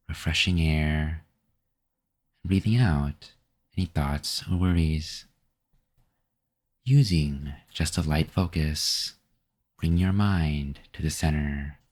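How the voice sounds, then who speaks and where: A man leading a scripted guided meditation in a calm, gentle, measured manner with pauses between phrases. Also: rumbling low.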